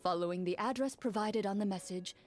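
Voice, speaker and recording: A woman playing a composed female game master who tells a dark fantasy tale in a measured, theatrical way. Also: medium pitch, close to an everyday voice. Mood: measured, mysterious. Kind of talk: scripted dramatic storytelling. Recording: music behind the voice. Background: game music.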